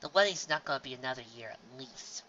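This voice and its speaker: A calm pony mother, as a reader puts her on, soft and soothing as she settles an overexcited daughter. The tone is bright, with a slightly dull quality behind it. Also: medium pitch, quick pace, slight background noise. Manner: soothing, gentle. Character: calm, motherly middle-aged female pony.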